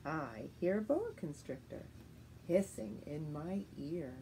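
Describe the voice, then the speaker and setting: Middle-aged woman, children's read-aloud, unhurried pace, soft mellow tone, sing-song teacher delivery. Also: sits low, poor audio. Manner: deliberate, sing-song, expressive reading with clear enunciation. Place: living room at home, indoors. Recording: faint steady noise, home room recording.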